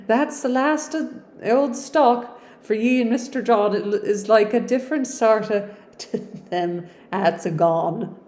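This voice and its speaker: A woman's voice: medium pitch, darkish tone, roomy sound. A woman voicing an old Yorkshire servant woman in a storybook reading, talking in broad dialect, drawn out and emphatic. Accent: Yorkshire, put on.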